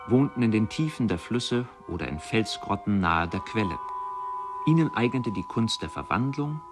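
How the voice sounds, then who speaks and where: Brooding fisherman telling his tale in a radio play, lowish voice, measured and reflective. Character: brooding poor river fisherman, remorseful and fatalistic. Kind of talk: literary radio play narration.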